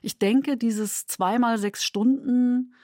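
A woman's voice: a little high, fairly even intonation, slow, warm tone, dry recording, studio quality. A woman who produces radio drama, explaining her work in a considered interview statement.

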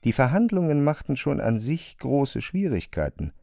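A man narrating a literary radio drama in a measured, precise way, as a composed observer. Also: mid-range voice, wildly swooping pitch, gravelly, dark tone, soft background music. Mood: measured, observant. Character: male narrator of an absurd bureaucratic tale, observant and composed.

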